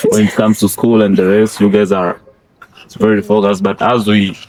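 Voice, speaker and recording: A young man from Congo, a French speaker, explaining things in a relaxed, chuckling way. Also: on the low side, unhurried, slight background noise, poor audio. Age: young adult. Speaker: international student.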